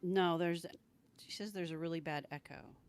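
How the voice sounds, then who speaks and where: A woman's voice: lowish voice, steady tone, moderate pace. A middle-aged woman co-hosting a casual fan chat in a folksy way. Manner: folksy, says y'all. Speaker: live stream co-host.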